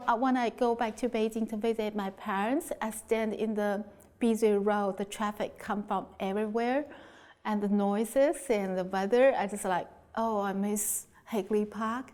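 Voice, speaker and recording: A woman's voice: medium pitch, normal speed, darkish tone. Woman from China, Mandarin native, mid voice, thoughtful. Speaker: interviewee. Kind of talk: documentary interview.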